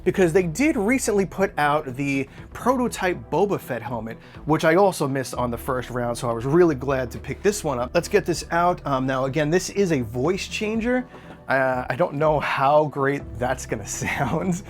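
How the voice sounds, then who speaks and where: A man's voice: fairly high pitch, lively intonation, moderate pace, soft background music. A man hosting an unboxing video, chatting casually and enthusiastically in an unscripted product review style. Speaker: collectibles unboxing video host.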